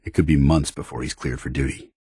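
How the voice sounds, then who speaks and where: Stern earnest soldier in a video game, super low husky voice, formal and measured. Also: male voice, fairly even intonation, mellow, no room sound, studio quality, exaggerated voice. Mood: dutiful, helpful. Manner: formal, measured military diction. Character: stern, dutiful, earnest armored military paladin, male soldier. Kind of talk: video game voice acting, companion dialogue.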